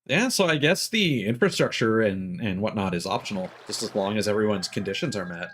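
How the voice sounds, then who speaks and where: Low-voiced man streaming a game. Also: animated, a little fast, a bit bright, slight background noise. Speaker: streamer playing a video game. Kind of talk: casual gaming commentary. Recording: game music behind the voice. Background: video game music.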